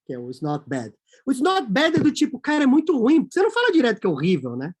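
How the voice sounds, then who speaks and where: A man from Brazil, a company CEO, talking as a guest in a casual interview, animated and acting out quoted voices. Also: very high voice, fast, breathy edge.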